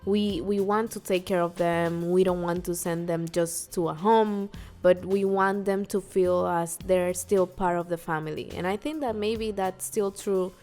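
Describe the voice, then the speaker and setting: A woman from Puerto Rico talks through a relaxed podcast conversation, lively and laughing easily. She trips over her words and restarts her sentences as she explains things. Faint music plays under her voice. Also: fairly low pitch, a little slow, few pauses. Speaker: podcast co-host. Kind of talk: casual chat. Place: New York.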